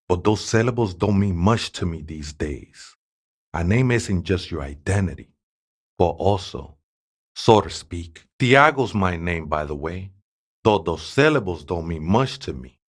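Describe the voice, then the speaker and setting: A man's voice: low-pitched, lively intonation, unhurried. An actor playing a serene, devout ex-gang man with a Mexican accent who talks in laid-back, reverent street slang for a video game. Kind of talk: video game character dialogue.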